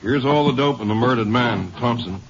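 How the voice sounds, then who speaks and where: An actor playing a laconic, businesslike sheriff in an old radio drama, speaking briefly and plainly. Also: male voice, medium pitch, fairly quick, natural voice. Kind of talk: 1950s radio drama acting. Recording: dull, very noisy old radio recording.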